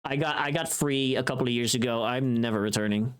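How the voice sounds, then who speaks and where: A livestream host chatting casually with viewers in an animated, informal, sweary way. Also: medium pitch, a little fast, smooth.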